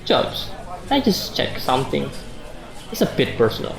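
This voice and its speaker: A man's voice: medium pitch, big pitch swings, moderate pace, gravelly, crowd noise. Man acting a wordy, sardonic office worker, echoey. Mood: awkward. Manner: wordy, bookish, dryly sardonic. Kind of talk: radio drama dialogue. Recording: echoey room sound.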